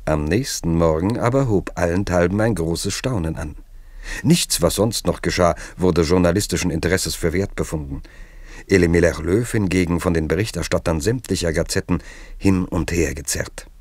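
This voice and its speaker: An actor playing a wry, formal chronicler, narrating a literary radio play in measured, ornate phrases. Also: male voice, low voice, no echo.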